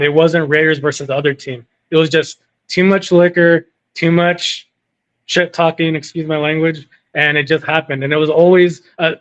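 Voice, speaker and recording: A man's voice: on the high side, a bit bright. A man from California calling in to a sports talk show, rambling casually, with lots of restarts. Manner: rambling, lots of fillers and restarts, says dude. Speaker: caller. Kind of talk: casual chat on a call-in show. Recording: muffled phone-in audio.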